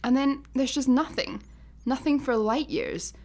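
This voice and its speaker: A woman's voice: sits high, a little slow, a little mellow. A woman playing an exasperated, conversational space traveller in a sci-fi comedy radio play. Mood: wistful.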